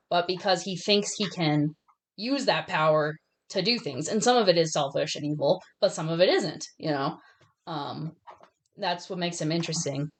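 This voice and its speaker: A woman with a voice a little lower than most talks as a podcast co-host. She is conversational and opinionated, as in an informal chat about films. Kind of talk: casual film discussion chat.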